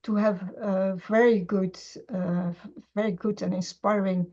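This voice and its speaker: A middle-aged woman, a scholar of Semitic languages, speaking in an interview with many pauses, fillers and restarts. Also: medium pitch, steady tone, mellow. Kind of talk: conversational interview. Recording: dull lo-fi sound.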